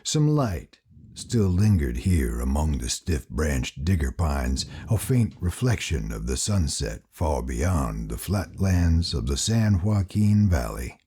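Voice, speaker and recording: Male audiobook narrator, theatrical and grave, very husky, with swooping low pitch. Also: dark tone. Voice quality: raspy. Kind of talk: dramatic scripted storytelling narration.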